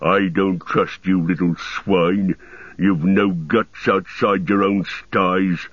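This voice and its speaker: A man voicing a huge, domineering orc commander in a deep growl, blunt and boastful, in a storybook reading. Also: steady tone, takes it slowly. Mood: boastful, scornful. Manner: blunt, commanding, emphatic declarations. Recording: roomy, dull lo-fi sound.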